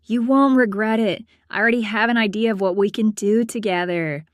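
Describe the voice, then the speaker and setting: A woman's voice: mid-range voice, a little fast, no echo, studio quality, voice pushed up, close to an everyday voice. A woman voicing a bubbly, teasing young female friend in a narrated story, with a gushing, coaxing manner. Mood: cheerful, teasing. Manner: sing-song, gushing, coaxing.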